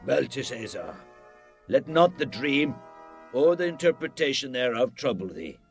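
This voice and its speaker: A man's voice: mid-range voice, big pitch swings, unhurried, faint music behind. An actor playing a proud, commanding Babylonian king in a solemn scripture dramatization, declaiming in a grand, stately way.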